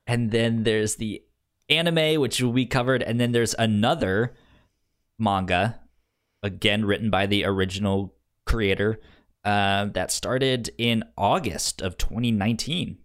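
A man co-hosting a podcast in casual conversation, halting and given to chuckling as he goes through his notes. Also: lowish voice, clear, resonant tone. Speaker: podcast co-host.

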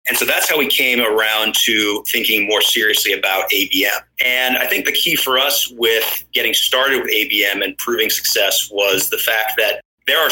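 A man with an American accent whose first language is Danish, a guest on a podcast interview who explains things in a measured, thoughtful way. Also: fairly low pitch, sharp tone, poor audio. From born in London, raised in the US. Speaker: guest, marketing executive.

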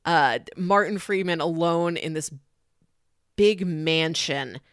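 Low-voiced woman co-hosting a podcast. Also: slow pace, smooth, no room sound, clean studio sound. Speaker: podcast co-host. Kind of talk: casual film discussion.

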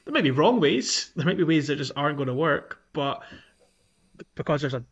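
A man's voice: a little high, expressive, a little fast, breathy edge, lo-fi sound. A man co-hosting a podcast, chatting casually and with enthusiasm about a video game. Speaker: podcast co-host.

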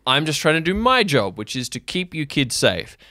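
An anxious adult counselor, played by a man, with a bright, clear voice. He is earnest and hesitant, stumbling through placating, therapist-like phrases as he tries to keep children safe in an audio drama. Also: fairly high pitch, sing-song, normal speed, no echo, clean studio sound, voice pushed up, full character voice. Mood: earnest, apologetic.

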